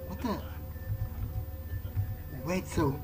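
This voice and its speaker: A man's voice: high voice, extremely slow, loud music behind the voice. A man doing in-character let's play commentary, talking to himself conversationally as he reacts to the game.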